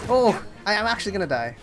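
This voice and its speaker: A game streamer chats casually through live play in a mid-pitched voice. The talk rambles and doubles back as thoughts come out loud. Music plays clearly behind the voice. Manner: rambling, self-interrupting, thinking aloud. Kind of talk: casual live gameplay commentary.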